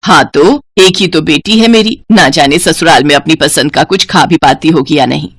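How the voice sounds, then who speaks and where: A woman's voice: low voice, normal speed, slightly rough, no room sound, studio quality. A woman voicing a fond, fussing older mother and grandmother in a cartoon. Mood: doting.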